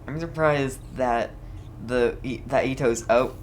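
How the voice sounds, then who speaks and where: A woman's voice: rumbling low, measured pace, slight background noise. A woman giving casual live commentary as she plays a game as a streamer.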